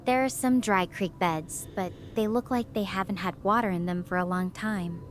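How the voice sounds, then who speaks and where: A woman's voice: mid-range voice, normal speed, slight background noise, close to an everyday voice. A woman voicing a stranded young researcher on an alien world, earnest and thoughtful as she talks through her situation over a video call. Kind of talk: conversational audio drama over a video call.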